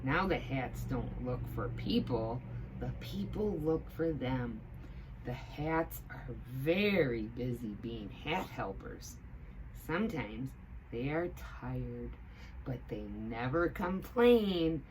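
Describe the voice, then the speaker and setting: A woman's voice: rumbling low, animated, slow pace, darkish tone, slight background noise, poor audio. A woman teaching assistant reading a picture book aloud expressively, with teacherly asides.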